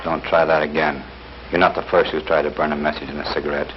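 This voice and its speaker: An actor playing a stern, cold secret police interrogator giving curt orders in a 1940s training film. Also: male voice, extremely low pitch, flat delivery, fast talker. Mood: cold, commanding. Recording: muffled, hissy 1940s film soundtrack.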